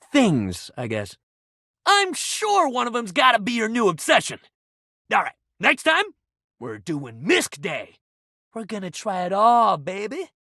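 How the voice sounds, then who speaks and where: An eager, sports-mad gym buddy from a video game. The pitch leaps around in a very animated way. He talks in casual bro slang like an encouraging coach who badly wants to please, recorded dry and close. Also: gravelly, dry recording, exaggerated voice. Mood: wistful, then warm, encouraging.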